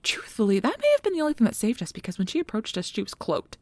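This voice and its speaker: Woman playing a nervous young female researcher, quick rambling speech, slightly breathy and husky, caring, flustered, apologetic, ASMR fantasy roleplay. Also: on the high side, huge pitch swings, dry recording. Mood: admiring, excited. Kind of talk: ASMR roleplay audio drama.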